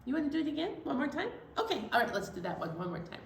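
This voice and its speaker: A woman leading a children's story time, speaking to young children in an animated, encouraging way and asking them questions. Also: sits high, rapid-fire. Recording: roomy echo, distant mic, faint noise.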